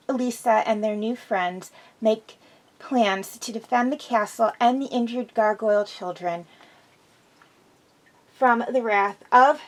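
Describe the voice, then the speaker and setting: A woman host chatting casually and enthusiastically through an episode review, slightly congested from a cold. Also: on the high side.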